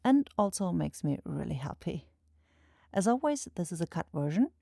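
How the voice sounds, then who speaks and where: A woman's voice: on the low side, very animated, normal speed, gravelly, warm tone, dry recording, studio quality. A woman chatting casually to her listeners in a bashful, self-correcting way. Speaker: voice actor and channel creator. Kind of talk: casual outro chat to listeners.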